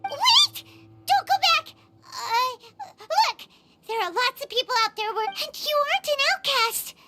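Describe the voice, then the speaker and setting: A woman voicing a tiny, plucky, childlike fairy companion in a video game, squeaky and excitable, with music behind her. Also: measured pace, heavy background noise, heavily acted. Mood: urgent. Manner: excitable, exclamatory. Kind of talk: scripted video game voice acting. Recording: voice under loud game music.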